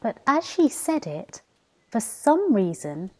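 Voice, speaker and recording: A woman storyteller reading a children's story in expressive scripted narration. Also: on the high side, slow pace. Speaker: professional storyteller.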